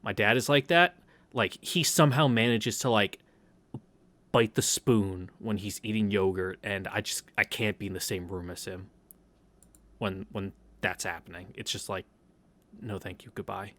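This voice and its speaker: A man's voice: on the low side, steady tone, normal speed, a bit bright. A man casually reading a visual novel aloud, with hesitant, wry asides. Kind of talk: casual read-through with commentary. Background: game music, cicadas outside.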